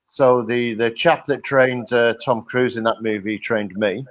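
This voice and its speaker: A middle-aged man with a Liverpool accent talks casually with plenty of "uh" and "um", telling his story in a jokey, easygoing way. His voice sits in a middle pitch. The sound is dry, with almost no room echo. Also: steady tone, a little slow. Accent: Liverpool English. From England (Liverpool). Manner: chatty, jokey storyteller with frequent "uh" and "um". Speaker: guest, hospitality company director. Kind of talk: casual interview over video call.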